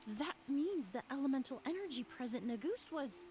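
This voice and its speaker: A small, curious game sidekick who sounds easily shocked. The voice is high and very lively, exclaiming over clear background noise. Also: female voice, a little fast. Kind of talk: video game voice acting.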